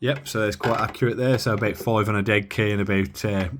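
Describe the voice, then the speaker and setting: A man from the Midlands demoing a radio at his bench in a casual, halting way with lots of fillers. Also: fairly low pitch, fairly even intonation, very noisy. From Midlands of England. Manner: halting, many fillers and restarts. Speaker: radio hobby vlogger. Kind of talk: casual hands-on demo.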